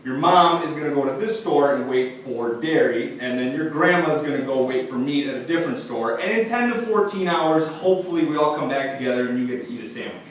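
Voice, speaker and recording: A man from Romania telling a childhood story on stage in a loose, halting way with frequent uh's. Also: on the high side, lively intonation. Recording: echoey room mic, far off, faint noise.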